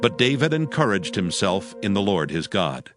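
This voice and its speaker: A male narrator reads scripture in a solemn, measured storytelling style. His voice is on the low side, and music plays clearly behind him. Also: very animated. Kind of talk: scripted scripture narration, dramatized audio Bible.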